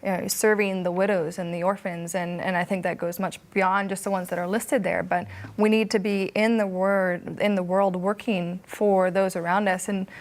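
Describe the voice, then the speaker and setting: A young woman in a Bible study group, speaking in a thoughtful, measured way with frequent fillers. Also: mid-range voice, flat delivery. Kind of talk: discussion group chat.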